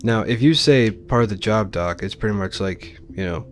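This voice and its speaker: A man's voice: fairly low pitch, normal speed, faint music behind. A man giving informal, explanatory commentary as he hosts a video game playthrough. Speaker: Let's Play host. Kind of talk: casual gameplay commentary.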